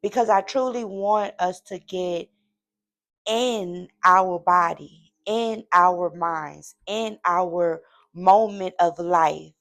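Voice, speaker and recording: A woman hosting a yoga session, speaking in soothing, deliberate, measured phrases as she guides relaxation and gives motivational talk. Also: on the low side, fairly bright tone. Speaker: yoga session host and instructor.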